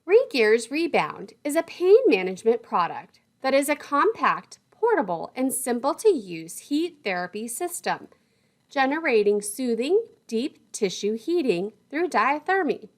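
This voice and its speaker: A woman's voice: fairly high pitch, sing-song, unhurried. A woman lecturer reading scripted instructional narration in a formal, precise manner.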